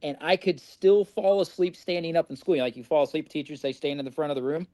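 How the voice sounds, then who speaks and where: A man's voice: fairly high pitch, steady tone, fast talker, dark tone, dry recording. A middle-aged man from Indiana, a podcast guest telling his recovery story in a candid, rambling way and swearing freely.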